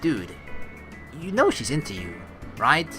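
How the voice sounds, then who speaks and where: A man's voice: fairly high pitch, huge pitch swings, a little slow, over music. A man hosting a let's play, reading story text aloud and adding casual, rambling, slangy commentary with some swearing. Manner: rambling, slangy, exasperated asides, profane.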